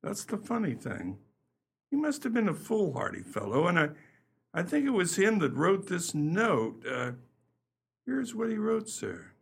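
A man acts as a methodical, deferential police detective in a radio play. His voice is gravelly and husky, with lively rises and falls, and his delivery is polite and a little halting. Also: high voice, full character voice. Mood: puzzled. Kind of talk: amateur radio play acting.

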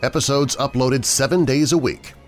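A man's voice: medium pitch, normal speed, faint music behind. A man who hosts a podcast, giving a dramatic scripted promo read. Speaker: podcast host.

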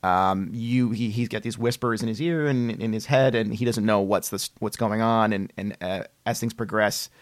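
A man's voice: on the low side, normal speed, hardly any pauses, no echo. A man chatting casually as a podcast host interviewing a guest.

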